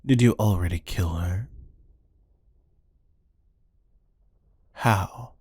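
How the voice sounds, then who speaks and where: A man acts out a cold-blooded killer interrogating a captive in an ASMR roleplay. His voice is deep and mellow, with a slow, deadpan delivery. He taunts with dry, menacing amusement. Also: breathy edge, no echo. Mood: probing, cold. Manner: deadpan, taunting, unhurried with musing pauses. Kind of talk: scripted ASMR roleplay monologue.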